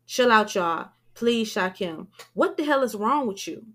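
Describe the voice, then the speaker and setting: A woman's voice: medium pitch, measured pace, creaky. Woman doing a caring young girlfriend. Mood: angry. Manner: reassuring.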